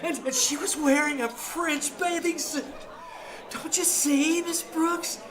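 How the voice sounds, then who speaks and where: Woman playing an excitable, very high-voiced schoolboy. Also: takes it slowly, crowd noise. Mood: awestruck, eager. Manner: breathless, stammering, exclaiming. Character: excitable, stammering high school boy student. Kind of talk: old-time radio comedy acting. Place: small-town theater stage, live performance. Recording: live theater stage with audience.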